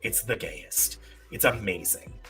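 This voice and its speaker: Male live-stream game host, keen and emphatic, piercingly bright mid-range voice, light music underneath. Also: lowish voice, a little slow. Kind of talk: casual chat and quiz hosting on a live stream. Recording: faint music behind the voice. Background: faint music.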